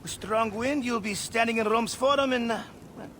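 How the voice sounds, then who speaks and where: Exuberant high-pitched ship captain. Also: male voice, moderate pace, a bit bright, a little hiss or hum. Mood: easygoing, confiding. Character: brash seasoned ship captain, exuberant and hearty. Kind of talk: dramatic video game acting.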